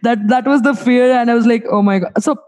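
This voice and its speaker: Female interviewer, Indian accent, soft mellow tone, casual and rambling, with repeated words while framing questions. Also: fairly high pitch, fairly quick.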